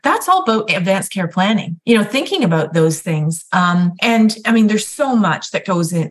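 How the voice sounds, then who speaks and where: A woman with a slightly low voice talks through her explanations in a relaxed, chatty way. She fills gaps with small fillers and often restarts her sentences. Her manner is that of a nurse practitioner and ethicist guiding listeners through the subject. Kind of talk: explanatory conversational guest talk.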